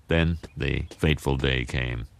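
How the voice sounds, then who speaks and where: A man playing a pompous, self-pitying middle-aged school principal in an animated comedy, stiff and earnest. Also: a bass voice, unhurried, gravelly, music in the background, exaggerated voice. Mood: anxious.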